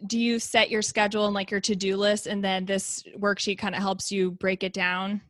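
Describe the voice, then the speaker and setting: A woman hosts a podcast interview in a relaxed, chatty way, laughing often. Her voice sits mid-range and sounds dry and close. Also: medium pitch, moderate pace, dry recording. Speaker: podcast host. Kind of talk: casual interview chat.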